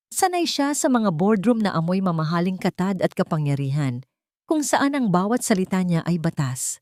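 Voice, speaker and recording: A woman's voice: on the low side, sing-song, moderate pace, a little mellow, dry recording, studio quality. A woman with a Filipino accent narrating a scripted dramatic story.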